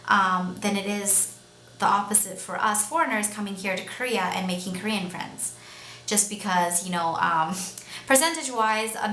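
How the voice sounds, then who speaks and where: Bright-voiced young Canadian woman, echoey room. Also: mid-range voice. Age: young adult. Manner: rambling, hesitant, with many pauses. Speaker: vlogger. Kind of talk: casual, unscripted talk to camera. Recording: echoey room with faint noise.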